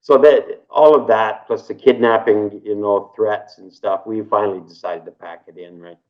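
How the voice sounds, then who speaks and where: A low-pitched man in his fifties from Canada, talking casually in an interview. He rambles, repeats himself and keeps tagging sentences with "right?". The recording sounds dull and lo-fi. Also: fairly even intonation, normal speed. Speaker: interview guest.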